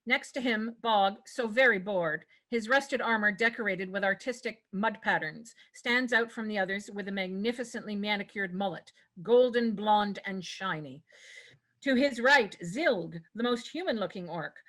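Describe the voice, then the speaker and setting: Bright, crisp-toned woman narrator. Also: mid-range voice. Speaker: narrator reading stage directions. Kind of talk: scripted narration at a table read.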